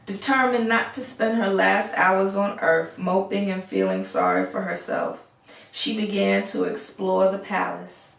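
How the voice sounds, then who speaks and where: A woman storyteller narrates a fairy tale at an unhurried pace, with an expressive delivery. Her voice has a crackly edge of fry, and it sounds roomy, picked up some way from the microphone. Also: medium pitch. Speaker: storyteller reading aloud. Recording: roomy echo, distant microphone.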